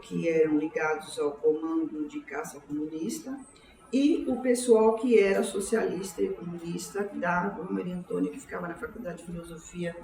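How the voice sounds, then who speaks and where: An older woman in her sixties speaks with a São Paulo Brazilian accent in a lowish, mellow voice. She reflects on her life as an interviewee, haltingly, repeating words as she goes. The room echoes clearly around her. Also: steady tone. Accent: São Paulo Brazilian Portuguese. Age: 60s. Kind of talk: oral history interview, autobiographical recollection. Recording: roomy, distant mic, faint background noise.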